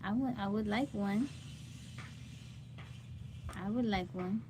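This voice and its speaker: Woman, livestream host, casual chat, greets viewers by name, medium pitch, steady ambient noise. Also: slow. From United States. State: hungry and a little jittery.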